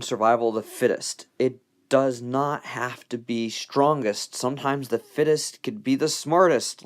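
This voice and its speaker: A man's voice: on the low side, clean tone. Informal male teacher giving a folksy lecture. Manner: conversational, folksy, joking asides. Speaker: biology teacher.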